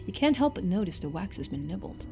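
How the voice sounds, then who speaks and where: A woman streamer reading a story game aloud in expressive narration, with casual asides. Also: fairly low pitch, fast talker, vocal fry, music in the background.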